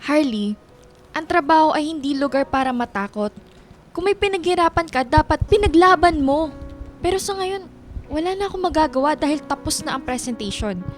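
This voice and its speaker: A woman's voice: high voice, expressive, moderate pace, faint music behind. A woman playing a stern, no-nonsense office boss in a radio drama, speaking in a firm, businesslike manner. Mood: firm, admonishing.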